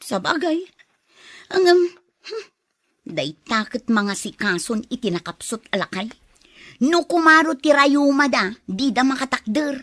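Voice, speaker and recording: Reluctant female accomplice voiced by an actress, high pitch, lively swings, questioning, grumbling audio-drama delivery. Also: a little slow, no room sound, studio quality, heavily acted. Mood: doubtful, reluctant. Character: doubtful woman accomplice reluctant to nurse an elder. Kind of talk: Ilocano audio drama acting.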